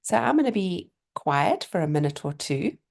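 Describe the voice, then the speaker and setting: A middle-aged woman, a little hoarse, giving an online seminar in a chatty, encouraging way over a video call. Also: on the low side, very animated, normal speed, a little mellow. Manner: chatty, encouraging, thinking aloud with fillers and restarts. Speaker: lecturer presenting a webinar.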